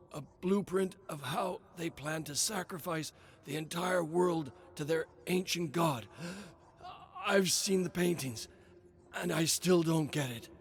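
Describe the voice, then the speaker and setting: An anguished man, acted out by a male narrator confesses in a dramatic audiobook. His voice sits high, breaking into halting stammers. He is drugged, frightened and weighed down by guilt. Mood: distraught, remorseful. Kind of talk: dramatic audiobook character acting.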